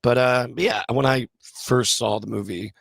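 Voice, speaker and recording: A man's voice: medium pitch, steady tone, measured pace, vocal fry, no room sound. Wry man in a candid interview. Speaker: actor, talk show guest. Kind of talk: casual interview answers.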